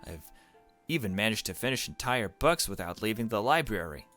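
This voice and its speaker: Man reading as a gentle, bookish young girl. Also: fairly low pitch, big pitch swings, moderate pace, soft background music. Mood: gentle, musing. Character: gentle, bookish, anxious young girl narrating her life. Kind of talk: visual novel read-aloud narration.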